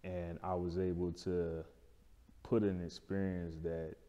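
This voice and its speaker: A deep-voiced man explains things casually in an interview. His tone is dark and relaxed, his phrasing colloquial, and the room rings with noticeable reverb. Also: measured pace, smooth, lo-fi sound. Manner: colloquial, explanatory, checking in with "right?". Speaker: barbershop owner, interview guest. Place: indoor lounge-style studio. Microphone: lapel mic.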